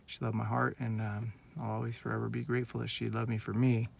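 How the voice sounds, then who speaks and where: A man in his late forties from Northern California talks straight into his handheld phone in a casual personal vlog, earnest and heartfelt, wandering from thought to thought. His voice is heavily gravelly with a lot of fry, sitting at an ordinary middle pitch. A faint hiss of background noise sits under the recording. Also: monotone, fast talker. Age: 40s. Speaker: vlogger.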